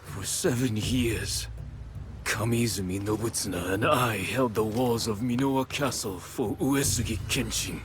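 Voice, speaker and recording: Game cutscene samurai male actor, bitter and proud, grave formal delivery, deepish pitch, strong score underneath. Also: unhurried, lightly acted. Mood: bitter, solemn. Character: proud bitter older samurai archer, loyal to his lord. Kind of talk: dramatic game cutscene acting. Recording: music behind the voice.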